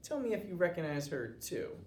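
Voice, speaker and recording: A man's voice: on the high side, very animated, a little fast, darkish tone, voice pushed up. A man voicing a smug young school bully in a storybook, mocking and falsely friendly. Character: Dylan, smug taunting schoolyard bully boy. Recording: roomy echo, noticeable background noise.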